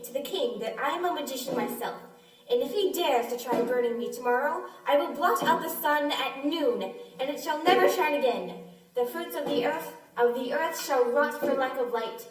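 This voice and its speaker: A young woman in an amateur radio play, acting as a boastful, commanding Yankee man who poses as a magician. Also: sits high. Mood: defiant threatening. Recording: echoey room.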